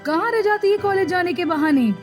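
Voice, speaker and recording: A woman acts out a scolding village mother from an animated moral tale. Her voice is high and fretful, set over clearly audible background music. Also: fairly quick. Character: scolding, anxious village mother fretting over daughter's marriage. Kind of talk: animated moral story voice acting.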